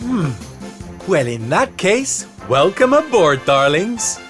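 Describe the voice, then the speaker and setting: A man's voice: sits high, sing-song, slow pace, fairly bright tone, heavily acted. A man voicing a flamboyant, theatrical male jester performer in a game cutscene, drawling and calling everyone darlings. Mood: teasing. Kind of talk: video game cutscene voice acting. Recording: game music behind.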